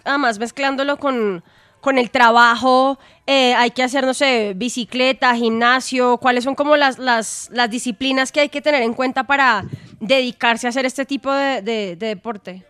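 A woman hosting a radio interview, asking wordy, halting questions in a conversational way. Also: high voice, steady tone, a bit bright, smooth, no echo.

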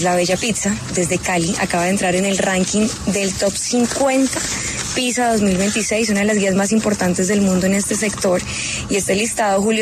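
A woman's voice: mid-range voice, background noise. A woman radio reporter presenting a story and questioning a guest fluently. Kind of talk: radio interview.

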